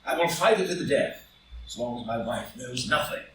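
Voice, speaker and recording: A man's voice: mid-range voice, expressive, normal speed, a bit bright, lightly acted. A man playing an anxious statesman, very echoey. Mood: determined, urgent. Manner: earnest, melodramatic. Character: anxious, proud married statesman threatened by scandal. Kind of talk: live read-through of a stage play as audio drama. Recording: very echoey room, distant mic, faint noise.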